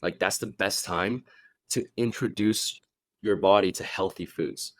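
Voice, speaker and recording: Low-voiced chatty fitness coach, man. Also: a little slow, no room sound. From Hong Kong. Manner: chatty, often checks in with "right?". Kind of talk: casual podcast conversation.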